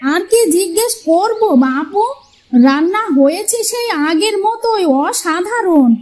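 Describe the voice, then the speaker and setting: A bossy older mother-in-law in a cartoon folk tale, voiced by a woman. Her voice is strikingly high, and she has a nagging, folksy way of talking. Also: expressive, unhurried, a little mellow. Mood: fond chiding. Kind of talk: cartoon fairy-tale dialogue.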